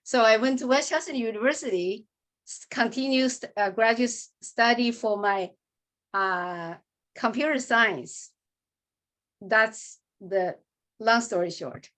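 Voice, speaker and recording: A woman speaking as an artist guest on a video call, halting and restarting words as she talks. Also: a little high, fairly bright tone. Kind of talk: remote panel talk.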